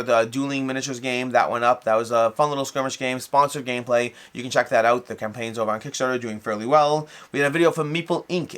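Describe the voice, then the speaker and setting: A man's voice: mid-range voice, a bit bright. A man hosting a casual, unscripted hobby news recap, talkative and rambling, often rephrasing and qualifying what he says. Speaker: host of a board game review channel.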